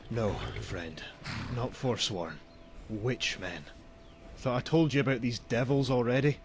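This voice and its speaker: An actor playing a wry, cynical traveling merchant in a video game, with a theatrical, sardonic manner and old-fashioned phrasing. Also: male voice, medium pitch, measured pace, slight background noise, close to an everyday voice. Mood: wry warning. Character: wry, cynical male traveling merchant, grim about bandits. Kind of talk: voiced video game dialogue.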